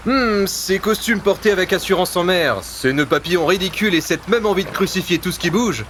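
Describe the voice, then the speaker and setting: An actor playing a weary, sardonic secret agent in an audio drama, sarcastic and given to muttering. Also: male voice, sits high, a little fast, fairly bright tone, a little hiss or hum.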